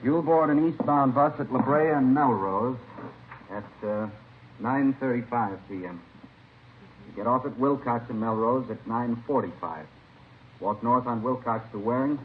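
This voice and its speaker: An actor playing an authoritative police captain who gives methodical instructions in a vintage radio crime drama. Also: male voice, mid-range voice, unhurried, long pauses, close to an everyday voice. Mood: businesslike. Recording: muffled, hissy old radio recording.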